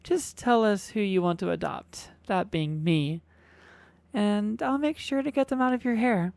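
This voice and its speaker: A woman's voice: mid-range voice, measured pace, gravelly, darkish tone, no room sound, clean studio sound. A woman playing an obsessive, boastful kitsune fox girl in an ASMR roleplay, cajoling and dramatic. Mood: devoted, cajoling.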